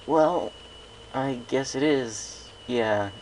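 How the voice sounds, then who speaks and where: A man voicing a bewildered, awkward grown stallion pony who speaks haltingly in a read-aloud story. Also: mid-range voice, a little mellow, a little hiss or hum, voice pushed up, rough recording. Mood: flustered, awkward. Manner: halting, stammering.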